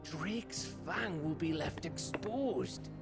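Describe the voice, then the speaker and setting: A man's voice: fairly high pitch, animated, drawn-out words, gravelly, over loud music, heavily acted. An actor playing a cheeky, foul-mouthed male spy, chatty and joking with an old friend.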